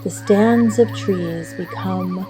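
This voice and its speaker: A woman leading a guided meditation as an instructor, speaking in a measured, soothing way with long pauses. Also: mid-range voice. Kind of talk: slow guided meditation narration. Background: music and birdsong.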